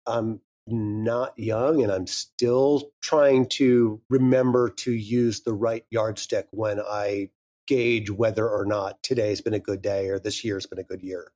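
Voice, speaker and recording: A man's voice: on the low side, fairly even intonation, slow, dark tone. A man in his fifties, a guest speaking frankly and candidly in a casual interview, swearing now and then. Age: 50s. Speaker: guest, audio drama creator.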